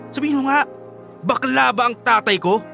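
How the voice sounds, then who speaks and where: An actor with a Filipino accent playing an angry, wounded young son in a radio drama. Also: male voice, extremely high pitch, normal speed, gravelly, pushed and bright, faint music behind, full character voice. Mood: angry, accusing.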